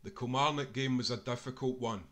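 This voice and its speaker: A man's voice: medium pitch, brisk, fairly bright tone. A Scottish man reading a scripted sports history book aloud, as an author narrating his own work.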